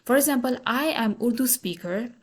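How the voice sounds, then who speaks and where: A woman from Pakistan with a Pakistani accent and Pashto as her first language, giving a slide lecture in a halting, repetitive way with many self-corrections. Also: on the high side, expressive.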